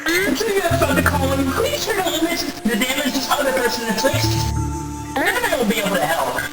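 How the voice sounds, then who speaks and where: High, fussy, otherworldly caretaker entity. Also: female voice, big pitch swings, fairly quick. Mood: alarmed, dramatic. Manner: formal, precise wording with dramatic exclamations. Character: busy, fussy, excitable nonhuman caretaker entity of a memory space. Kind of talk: game fan dub character acting. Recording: echoey, distant, loud music behind.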